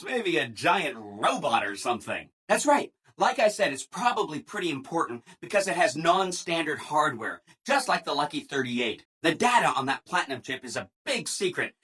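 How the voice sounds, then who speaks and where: A man's voice: a little high, big pitch swings, moderate pace, few pauses, sharp tone, breathy edge, exaggerated voice. A man voicing a relentlessly cheerful, eager-to-please robot assistant in a video game, with a perky, over-polite manner. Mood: gleeful, upbeat. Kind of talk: video game character dialogue.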